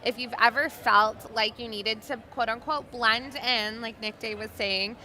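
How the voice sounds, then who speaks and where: Gushing bright-voiced female host. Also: on the high side, fairly even intonation, measured pace, a little hiss or hum. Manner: gushing, effusive. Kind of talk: live-stream interview.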